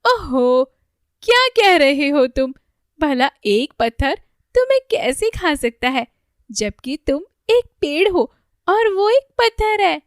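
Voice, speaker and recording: A woman's voice: high voice, sing-song, takes it slowly, no room sound, clean studio sound, pitched above the performer's own voice, exaggerated voice. A woman voicing a kindly elderly grandfather tree who chides and explains patiently in a children's cartoon. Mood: puzzled, chiding. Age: older. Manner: exclaiming, admonishing, patient explaining. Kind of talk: Hindi children's cartoon dialogue.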